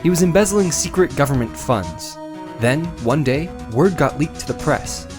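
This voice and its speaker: A man's voice: fairly high pitch, animated, moderate pace, over music, natural voice. A man playing an earnest, dogged young defense lawyer in a voiced game drama. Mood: determined confrontation. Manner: musing aloud, then pointed and accusatory.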